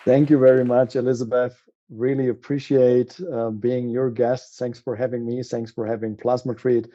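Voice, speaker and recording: A man with a German accent, a guest on a podcast, talking in a halting way with many fillers and restarts. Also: mid-range voice, little pitch movement, dark tone, lo-fi sound. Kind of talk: conversational interview.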